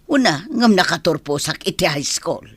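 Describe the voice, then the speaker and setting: A woman's voice: fairly low pitch, lively intonation, moderate pace, slightly rough, no echo. A woman acting a scornful, bossy older housemaid in an Ilocano radio drama.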